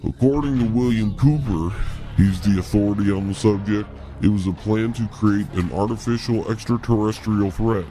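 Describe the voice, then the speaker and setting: A man's voice: fairly low pitch, normal speed, dark tone, lightly acted. A man voicing a folksy, wry hillbilly captive in a cartoon, taking his time. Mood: wry, indignant. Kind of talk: cartoon acting.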